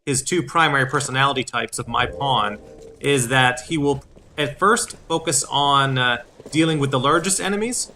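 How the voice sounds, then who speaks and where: A man in his thirties hosting a game walkthrough, explaining things in a halting way. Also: medium pitch, sharp tone, a little hiss or hum. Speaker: video series host. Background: game music.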